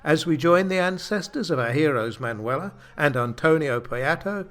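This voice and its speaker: A man's voice: a little high, animated, normal speed, fairly bright tone. A man narrating a comic radio play from a script in a mock-solemn manner.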